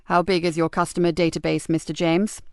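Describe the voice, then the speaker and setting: A woman voicing a calm male police detective who asks questions in a quiet, measured way. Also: on the low side, smooth, no room sound, studio quality, lightly acted.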